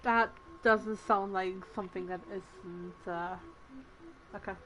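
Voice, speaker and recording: A woman's voice: mid-range voice, steady tone, takes it slowly, fairly bright tone. A woman casually commenting as she plays a game, with a dry, sarcastic manner. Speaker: gamer playing through a story quest.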